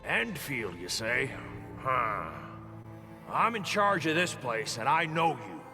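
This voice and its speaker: A man's voice: mid-range voice, sing-song, slow. An actor playing a wary, scolding older man who leads a shelter in a video game. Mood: suspicious. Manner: suspicious, scolding. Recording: long reverb, music behind.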